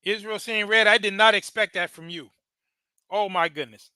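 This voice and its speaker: A man's voice: high-pitched, fairly even intonation, moderate pace, sharp tone, smooth, no room sound, studio quality. A man from the United States hosting a live podcast, casually commenting on a video with stammered restarts and laughing asides. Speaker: podcast host reacting to a video.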